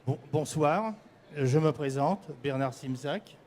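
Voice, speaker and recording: Older man from the Paris region, a prize candidate presenting live. Slightly raised pitch, halting delivery with self-corrections. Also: darkish tone, a little hiss or hum. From Paris region, France. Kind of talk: semi-prepared spoken presentation to an audience.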